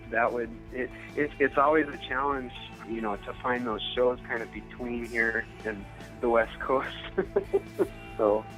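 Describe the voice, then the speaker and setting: An American man in a casual interview, talking reflectively and haltingly as he searches for his words. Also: mid-range voice, fairly bright tone, poor audio. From Minnesota, United States. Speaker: musician, interview guest.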